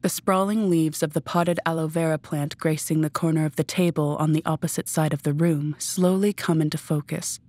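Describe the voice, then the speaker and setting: A woman narrates a scripted story in a deep register for her sex. The sound is dry, with next to no room in it. She reads as the narrator of an audio drama. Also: steady tone, normal speed, hardly any pauses, a little mellow, no room sound.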